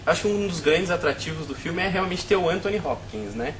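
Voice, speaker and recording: A Brazilian man hosting a film review discussion, asking his panel questions in a quick, casual way. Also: high-pitched, a little hiss or hum, poor audio. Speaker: host.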